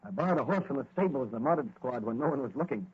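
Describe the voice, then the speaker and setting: An actor playing a brave young cop turned masked avenger in earnest, declamatory 1940s radio style. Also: male voice, mid-range voice, lively intonation, rapid-fire, breathy edge. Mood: determined. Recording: dull, muffled old radio recording.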